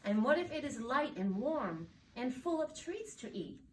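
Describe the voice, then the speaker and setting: A woman voicing a gentle, encouraging penguin mother in a storybook, coaxing and reassuring. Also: sits high, sing-song, measured pace, roomy sound, voice pushed up. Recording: distant mic, faint background noise.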